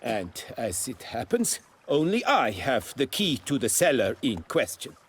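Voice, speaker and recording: An actor playing an anxious, deferential nobleman winemaker in a video game, proud yet servile, with a formal and halting delivery. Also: mid-range voice, big pitch swings, a little hiss or hum. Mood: proud, measured.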